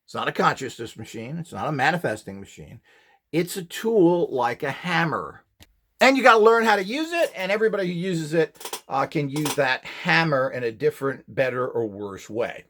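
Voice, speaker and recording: A man's voice: on the high side, lively intonation, normal speed, fairly bright tone. A middle-aged man giving an informal, opinionated lecture as an instructor, rambling off the cuff in a blunt, scornful and emphatic way. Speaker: instructor and host of a how-to video series.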